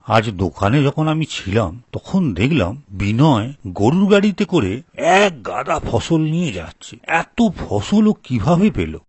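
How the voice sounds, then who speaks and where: A man playing a grudging, proud elder brother from a village in a dramatic, expressive folk-tale performance, with a husky voice. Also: medium pitch, slow pace, heavily acted. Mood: suspicious.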